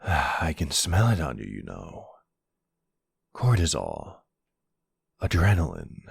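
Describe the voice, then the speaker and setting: A man performing as a predatory, teasing male vampire in an ASMR roleplay. His voice is very deep and husky, drawled slowly and seductively. It sounds smug and intimate. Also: dry recording, clean studio sound, heavily acted. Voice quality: low, gravelly, husky. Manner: slow, taunting, seductive drawl.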